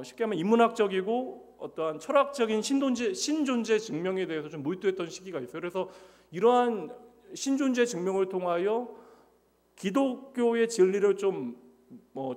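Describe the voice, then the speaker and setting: A man's voice: sits high, darkish tone. A Korean man in his forties preaching a sermon to his congregation in a church, speaking conversationally and putting rhetorical questions to the listeners. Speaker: pastor. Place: church sanctuary. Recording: long church reverb.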